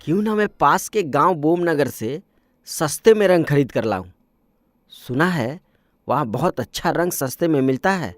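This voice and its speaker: Cartoon role: courteous, sincere young village suitor male actor, clear music underneath. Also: fairly high pitch, lively intonation, normal speed, dry recording, clean studio sound, lightly acted. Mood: polite, curious. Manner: polite, earnest. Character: earnest young orphan man, painter, shyly courting. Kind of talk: Hindi moral-story cartoon voice acting.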